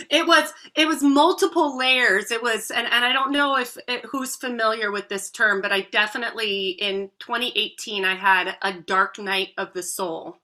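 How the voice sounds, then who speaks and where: A woman's voice: fairly high pitch, sharp tone. A woman in her thirties, a voice coach, telling her own story as a guest in an earnest, heartfelt way. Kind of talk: podcast interview.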